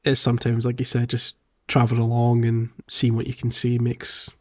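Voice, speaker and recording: A man co-hosting a podcast, casually discussing a video game in a halting, thinking-aloud way. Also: medium pitch, monotone, darkish tone. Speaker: podcast co-host. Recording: slightly dull, lo-fi podcast audio.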